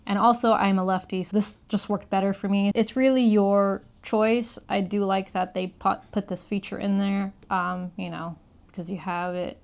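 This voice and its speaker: A woman's voice: medium pitch, fairly even intonation, moderate pace, creaky, a little mellow. A woman giving a casual, conversational hands-on gadget review, explaining things step by step. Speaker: product reviewer.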